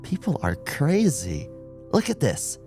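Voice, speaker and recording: A man's voice: huge pitch swings, slow, a little mellow, faint music behind, full character voice. A man voicing a teasing, sarcastic young policewoman in an audiobook. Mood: amused, breezy. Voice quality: high-pitched, babyish when mocking. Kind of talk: audiobook character voice.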